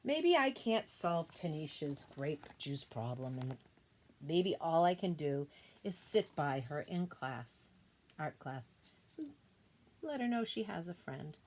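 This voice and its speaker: A woman's voice: deep voice, big pitch swings, slow pace, darkish tone, a little hiss or hum. A woman reading a picture book aloud to young children, explaining the illustrations in simple, informal phrases.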